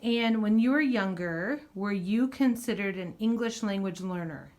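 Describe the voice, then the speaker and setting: A woman's voice: mid-range voice, measured pace, darkish tone. A woman interviewing a guest, asking questions and responding with frequent encouraging backchannels. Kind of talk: casual interview.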